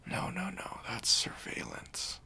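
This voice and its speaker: A man playing a kindly, reassuring bank robber in a soft, breathy ASMR roleplay, speaking gently and casually to an accomplice. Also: slow. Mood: soothing, reassuring. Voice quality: breathy, near-whispered.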